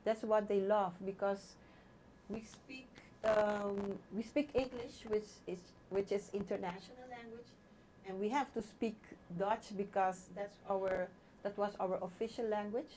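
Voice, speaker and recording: Mellow-voiced woman, noisy background. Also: mid-range voice, fairly even intonation, unhurried. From Aruba. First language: Papiamento. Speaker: teacher and interview guest. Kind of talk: conversational interview talk.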